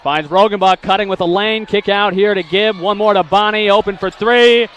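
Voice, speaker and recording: A man calling basketball play-by-play, with quick calls that jump in volume on big plays and steady runs of statistics. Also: high-pitched, a little hiss or hum. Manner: rapid calls rising loud on big plays, steady stat rundowns. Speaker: play-by-play announcer.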